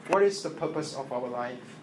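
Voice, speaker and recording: A man whose first language is Chinese, preaching a sermon in English after an opening prayer, halting and earnest with many fillers and restarts. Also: medium pitch, expressive. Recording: echoey room with faint ambient noise.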